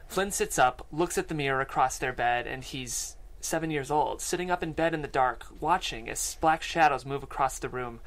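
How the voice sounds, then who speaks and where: A table read narrator delivers scripted stage directions from a screenplay. The voice is of medium pitch and is recorded on a studio microphone. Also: a bit bright. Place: podcast studio. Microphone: studio microphone and headphones.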